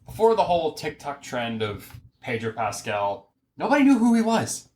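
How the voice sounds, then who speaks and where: A man's voice: fairly high pitch, very animated, moderate pace, darkish tone, slight background noise. A man co-hosting a podcast in casual, informal chat, often qualifying what he says. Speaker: podcast co-host.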